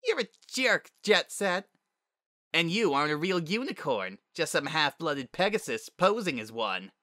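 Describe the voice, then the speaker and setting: A feisty young unicorn girl from a storybook fantasy, defiant yet easily wounded, acted out by a man. The voice is lifted high and bright, with a dry, close sound. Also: big pitch swings, moderate pace, no room sound. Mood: angry, defiant.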